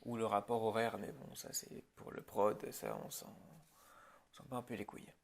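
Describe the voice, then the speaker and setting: A man's voice: fairly low pitch, flat delivery, moderate pace, gravelly. A man speaking informally and hesitantly in an improvised ASMR roleplay, as a film director addressing his crew.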